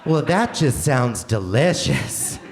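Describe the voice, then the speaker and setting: Man as folksy husband, lively pitch swings, exaggerated wholesome enthusiasm, gullible, old-time radio ad parody. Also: slow pace. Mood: wholesome, delighted.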